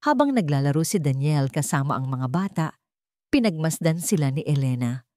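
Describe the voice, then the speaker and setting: A woman's voice: low-pitched, animated, moderate pace, dark tone, no echo, clean studio sound. A woman with a Filipino accent reading a scripted dramatic story as narrator.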